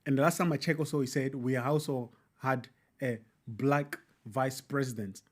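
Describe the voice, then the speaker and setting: A man hosts a reaction commentary at an ordinary pitch. He talks over the clip, repeats its key lines, and drops in interjections. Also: fairly even intonation, moderate pace. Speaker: commentary host.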